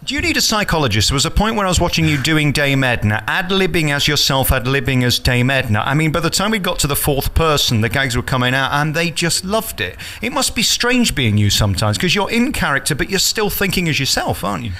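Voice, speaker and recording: A man's voice: fairly high pitch, very animated, brisk, hardly any pauses, pushed and bright. A man interviewing conversationally as a radio presenter, effusive and emphatic.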